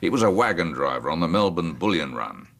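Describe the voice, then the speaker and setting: Very deep, gravelly, ailing old man. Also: big pitch swings, a bit bright, exaggerated voice. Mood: weary, reminiscing. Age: older. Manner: gruff, weary, deliberate. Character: ailing old man, bitter, gruff former gold robber. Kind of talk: radio drama acting.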